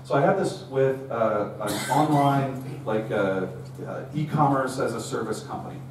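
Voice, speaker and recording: A middle-aged man speaks to a live meetup audience in a relaxed, storytelling manner. His voice sounds distant and echoes heavily around the room. A faint hum sits underneath. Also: mid-range voice, a little slow. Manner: conversational storytelling, acts out quoted dialogue. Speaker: conference speaker. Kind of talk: live technical talk. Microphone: room recording from far camera.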